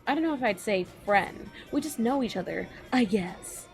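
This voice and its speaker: A woman voicing a big, gruff but friendly male ogre with a Scottish accent and a blunt, folksy way of talking, in a fanfiction reading. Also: a little high, animated, normal speed, faint music behind.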